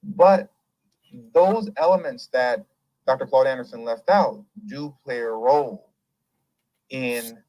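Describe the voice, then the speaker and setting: A man hosting a casual commentary show, talking in an animated, emphatic and rambling way and laughing often. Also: mid-range voice, takes it slowly, no echo, poor audio. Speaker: host.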